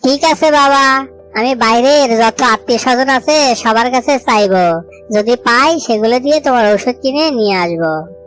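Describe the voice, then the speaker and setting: A woman's voice: a little high, moderate pace, faint music behind, lightly acted. A woman voicing a poor, hungry little boy bird in a cartoon story, with a plaintive, pleading manner.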